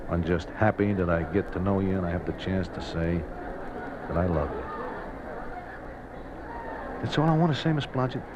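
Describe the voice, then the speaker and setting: An actor playing a sincere, resigned American racetrack bookie in love, with quaintly formal gambler's slang, in 1950s radio drama style. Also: male voice, sits low, expressive, moderate pace, pauses often, a crowd in the background. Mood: tender, resigned. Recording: dull, noisy old radio recording.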